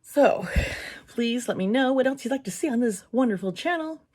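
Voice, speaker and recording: A woman with a hoarse, worn voice chatting casually to camera as a channel host, rambling a little and laughing often between thoughts. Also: a little high, expressive, moderate pace, darkish tone. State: hoarse, losing her voice. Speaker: tarot reader and channel host.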